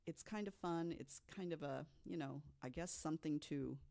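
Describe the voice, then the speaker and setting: Woman w a pretty low voice, chatty podcast guest telling spooky stories, laughs easy. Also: normal speed, gravelly, warm tone. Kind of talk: casual storytelling chat.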